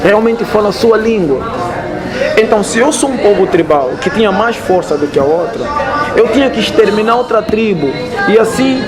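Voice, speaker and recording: Angolan man explaining, high-pitched voice. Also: lively intonation, normal speed, loud music behind the voice. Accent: Angolan Portuguese. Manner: didactic, keeps checking if understood. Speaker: interview guest. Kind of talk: explanatory interview talk.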